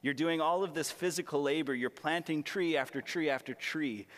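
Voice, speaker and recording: A young man, a pastor with a raspy voice, preaching a sermon full of personal stories in an earnest, self-deprecating, conversational way. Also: fairly high pitch, normal speed, a bit bright. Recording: long reverb of a large hall.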